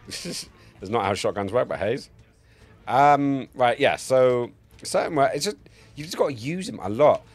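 A man's voice: medium pitch, lively intonation, measured pace, pauses often, no room sound. A man in his forties chatting casually and jokily, with plenty of swearing, as he plays a game on a live stream at a close mic. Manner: sweary, jokey, rambling. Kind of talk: casual live-stream gameplay commentary and chat. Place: indoor home streaming setup. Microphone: close streaming mic on a boom arm.

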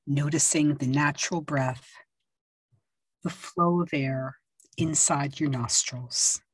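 A woman leading a yoga nidra meditation, speaking softly and soothingly with long pauses. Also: sits low, husky, no room sound, low-quality recording. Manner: slow, soft and soothing, with long pauses and gentle instructions. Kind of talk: guided meditation.

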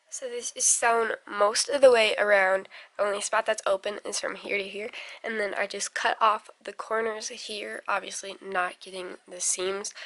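A woman's voice: mid-range voice, fairly bright tone. A young American woman, around twenty, chatting in an informal, rambling way as in a casual home vlog. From United States. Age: late teens to about twenty. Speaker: vlogger, young mother. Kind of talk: casual family vlog chat. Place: indoors at home. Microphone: handheld camera at arm's length. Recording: noticeable background noise, handheld home recording.

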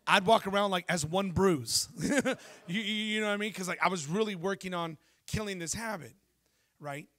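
A man in his thirties preaching a sermon on a church stage into a handheld mic, telling lively stories and asking the congregation questions. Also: high-pitched, moderate pace. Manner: animated storytelling preacher, jokes and asks the crowd questions. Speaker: pastor.

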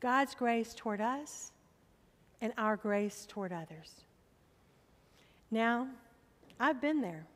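A middle-aged woman pastor preaching a sermon from the pulpit of a church, with slow, emphatic appeals to the congregation. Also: a little high, long reverb tail.

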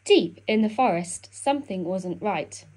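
A woman reading a children's picture book aloud in an expressive storytelling style. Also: medium pitch, unhurried, music in the background. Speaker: storybook reader.

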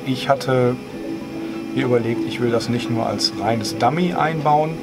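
A man explaining things in a casual, measured, slightly hesitant vlog style. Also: medium pitch, over loud music. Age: 50s. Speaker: host of a home cockpit tutorial channel. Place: small home flight simulator cockpit, indoors.

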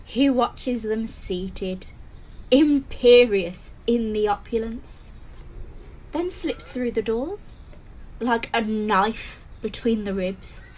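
A woman playing a brooding, poetic storyteller in an audio drama, with deliberate dramatic delivery. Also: a little high, animated, a little mellow, poor audio. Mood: brooding, ominous. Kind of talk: scripted audio drama narration. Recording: faint background ambience.

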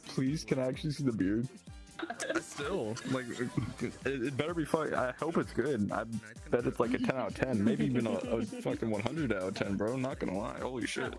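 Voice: mid-range voice, animated, moderate pace, over music. A person joking and rambling in a virtual-reality chat room. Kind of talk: casual VR chat banter.

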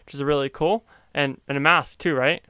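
A young man hosting a casual video-call show, upbeat and quick to laugh. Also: a little high, fairly even intonation, pushed and bright, clean tone, no room sound, studio quality. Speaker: podcast host. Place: home room, video call. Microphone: studio condenser mic on stand.

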